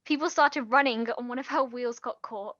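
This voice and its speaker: An actress playing an earnest, guilt-ridden young woman, halting and pleading, in a naturalistic stage drama. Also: on the high side, fairly bright tone, dry recording, lo-fi sound, natural voice. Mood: earnest, defensive.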